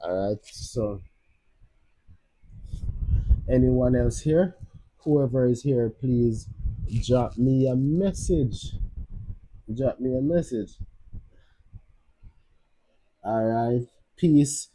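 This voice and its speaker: A Jamaican man talks loosely on a live stream, circling back and restarting his sentences as he goes. His voice is very dark and mellow, with a husky edge. He speaks at an everyday middle pitch. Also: extremely slow, long pauses, no room sound, low-quality recording. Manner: rambling, many restarts and fillers. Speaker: livestream host. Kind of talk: casual live-stream advice.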